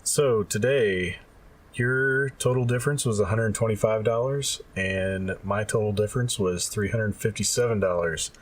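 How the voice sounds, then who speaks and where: A man's voice: lowish voice, normal speed. A man co-hosting a podcast, talking casually about retro games, recorded at a distance from the mic. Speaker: podcast co-host. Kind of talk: casual chat about retro game prices. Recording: distant mic, noticeable background noise.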